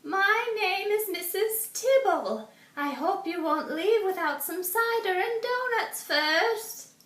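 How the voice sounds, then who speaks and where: Storybook old lady, kind and lonely, high sweet voice; woman reader doing it, roomy room sound. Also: animated, takes it slowly, fairly bright tone, exaggerated voice. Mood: kindly. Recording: roomy, distant mic.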